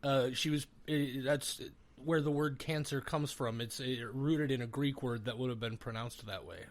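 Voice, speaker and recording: A man's voice: mid-range voice, normal speed. A man co-hosting a podcast in casual chat, explaining and correcting in a slightly pedantic way. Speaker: podcast co-host.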